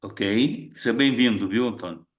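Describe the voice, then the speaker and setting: A man's voice: fairly low pitch, normal speed. Brief: male facilitator on a video call, friendly short welcomes. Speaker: retreat facilitator calling on participants. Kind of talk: casual online group meeting.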